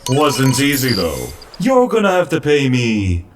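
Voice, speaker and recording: A man's voice: mid-range voice, huge pitch swings, measured pace. A man playing a shady, greedy robot dealer in a comic dub, smug and wheedling. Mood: smug, sly. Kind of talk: comic book dub acting.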